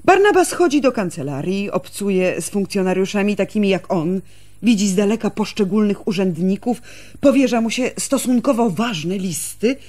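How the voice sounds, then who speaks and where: An actress playing a candid, devoted elder sister from a village in a radio drama, explaining things in a measured, confiding way. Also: female voice, medium pitch, expressive, a little mellow, close to an everyday voice. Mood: earnest, explaining.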